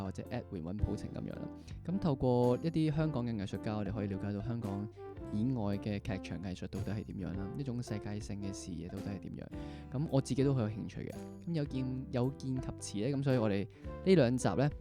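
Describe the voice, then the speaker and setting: A man with a Hong Kong accent hosting a conversational interview podcast. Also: medium pitch. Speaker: podcast host.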